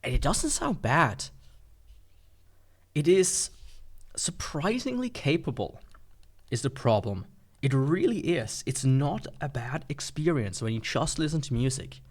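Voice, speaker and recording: Casual, rambling man reviewing a gadget. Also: mid-range voice. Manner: rambling, informal, skeptical. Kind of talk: casual unscripted product review.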